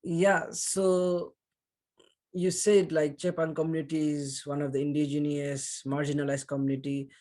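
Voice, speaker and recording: A man with a Nepali accent hosting a podcast, reading a measured, earnest introduction and then asking interview questions. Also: a little high, level tone, dark tone. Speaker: podcast host.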